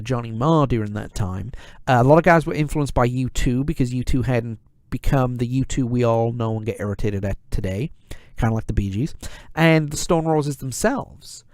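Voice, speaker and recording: A man's voice: mid-range voice, darkish tone, dry recording. A man hosting a podcast, talking casually with many fillers, repeated words and wry asides. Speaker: podcast host. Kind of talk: casual solo podcast monologue.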